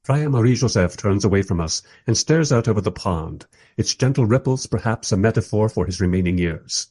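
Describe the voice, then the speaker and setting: A man's voice: lowish voice, animated, a little fast, darkish tone, husky. A man playing a reflective documentary maker who narrates a radio play in a wry, literary, musing way.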